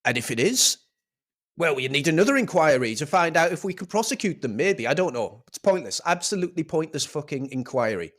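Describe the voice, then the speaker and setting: A man's voice: fairly high pitch, very animated, fairly quick, a bit bright. A man giving a scornful, swearing rant of political commentary.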